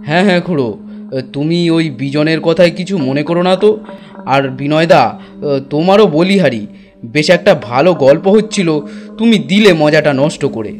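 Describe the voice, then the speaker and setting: A man playing a friendly tea-stall regular in a village cartoon, the sort who smooths quarrels over. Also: fairly high pitch, lively intonation, moderate pace, close to an everyday voice. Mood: placating. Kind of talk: cartoon dialogue.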